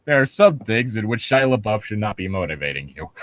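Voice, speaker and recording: Male podcast co-host, expressive and incredulous, swears freely, casual riffing, dry close sound. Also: on the low side, dry recording. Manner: profane, incredulous nitpicking. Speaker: podcast co-host reading and commenting on a horror story. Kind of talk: casual comedic commentary.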